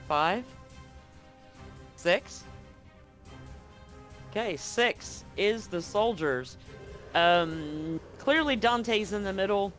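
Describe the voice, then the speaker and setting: A man's voice: high voice, fairly bright tone. A man running a live tabletop roleplaying game as game master, talking casually and swearing freely.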